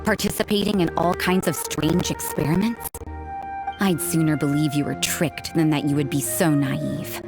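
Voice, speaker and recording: A woman's voice: low voice, fairly even intonation, normal speed. An actress playing a cool, cynical woman who patrols for threats, speaking in a dry, dismissive and suspicious way. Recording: backing music.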